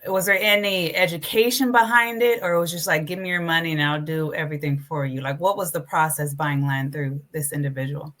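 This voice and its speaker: A woman's voice: deep voice, steady tone, a little fast, hardly any pauses, a bit bright. A woman hosting a conversational interview, asking probing questions and affirming briefly as she goes. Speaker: interview host.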